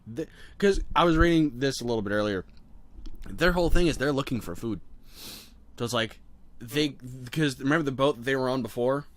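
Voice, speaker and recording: A man's voice: medium pitch, a little fast, long pauses, dry recording. A man, not a native English speaker, brainstorming in a casual, profane chat and laughing often. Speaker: co-host of a writing session.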